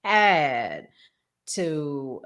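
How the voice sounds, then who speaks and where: A woman's voice: low voice, sing-song, extremely slow, a bit bright. A woman hosting a casual podcast, setting up topics and putting questions to her co-host. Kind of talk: casual talk, introducing topics and asking questions.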